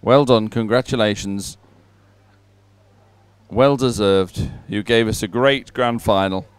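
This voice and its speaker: A man's voice: fairly low pitch, fairly even intonation, slow, clear, resonant tone. A man hosting live sports commentary in a chatty, jovial way, as if talking with a colleague. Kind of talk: live sports commentary, relaxed co-host chat.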